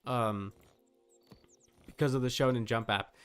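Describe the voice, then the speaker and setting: A man's voice: mid-range voice, steady tone, measured pace, a bit bright, clean tone, faint music behind. A man hosting a game livestream, talking casually and informally in a rambling way, thinking aloud as he goes.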